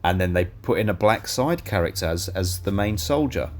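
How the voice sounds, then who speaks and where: A young man co-hosting a casual film review podcast, blunt and teasing, swearing freely and a little hoarse. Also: deep voice, animated, normal speed, darkish tone. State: losing his voice. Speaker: podcast co-host.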